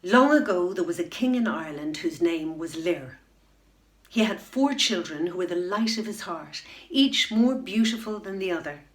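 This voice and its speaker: A middle-aged woman reading a children's bedtime story, unhurried and expressive, dropping to a hush at tense moments. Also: fairly low pitch. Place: room at home, indoors. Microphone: webcam facing the reader.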